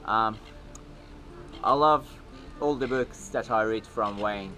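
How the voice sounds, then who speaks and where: A man in his thirties with a Persian accent, speaking an earnest personal video message with many pauses and fillers. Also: on the low side, sharp tone. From Iran. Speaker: video maker. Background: music.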